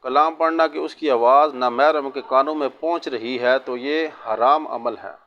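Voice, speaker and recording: Male cleric delivering religious rulings, medium pitch, instructive and measured, on a dull lo-fi recording. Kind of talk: religious sermon answering a question on Islamic rulings.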